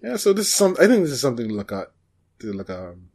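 A man hosting a podcast, chatting casually about shows in a halting, hesitant way. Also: medium pitch, sing-song, darkish tone. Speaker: podcast host.

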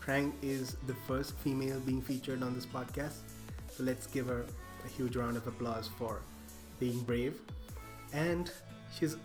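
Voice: mid-range voice, steady tone, unhurried, over music. A podcast host introducing a guest and asking short, friendly interview questions. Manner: upbeat, brief acknowledgments.

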